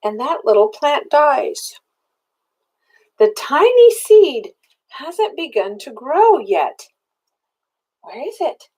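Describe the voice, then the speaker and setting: An older woman reads a children's storybook aloud in a high voice that rises and falls widely. She takes her time over the words, like a grandmother with a small listener. Her delivery is expressive and child-directed, with simple questions woven into the reading. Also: slow, pauses often.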